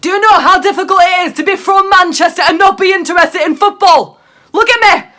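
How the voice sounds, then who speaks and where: A woman playing a rowdy, aggrieved young hooligan in a comic monologue, ranting indignantly at a shout in a Mancunian accent. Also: very high voice, a little fast. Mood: loud indignation.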